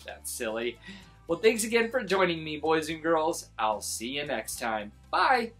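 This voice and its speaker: Animated male children's storytime host. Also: fairly high pitch, unhurried, soft background music. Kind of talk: animated picture-book read-aloud.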